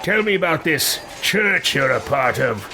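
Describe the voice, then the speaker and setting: Game wizard, male player acting: pompous, short-tempered, grand delivery, raised pitch, music underneath. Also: very animated, a little slow. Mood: imperious questioning. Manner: grandiose, imperious, theatrical. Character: pompous, irascible male wizard from another world.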